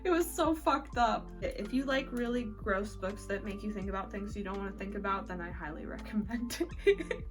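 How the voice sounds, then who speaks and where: A woman's voice: mid-range voice, normal speed. A woman chatting casually about what she has read as a book vlogger, informal and candid with slang and some swearing. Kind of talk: casual chatty monthly reading recap to camera. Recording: music playing behind the voice. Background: background music.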